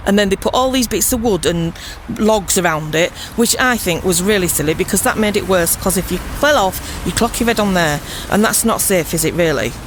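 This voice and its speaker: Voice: medium pitch, expressive, a little fast, a bit bright, rough recording. A person reminiscing casually in a chatty, mildly indignant manner. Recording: faint outdoor traffic noise.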